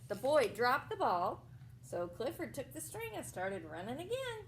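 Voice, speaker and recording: A woman reading a picture book aloud to young children as a teacher, explaining things simply and pausing for questions and asides. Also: sits high, huge pitch swings, slight background noise, poor audio.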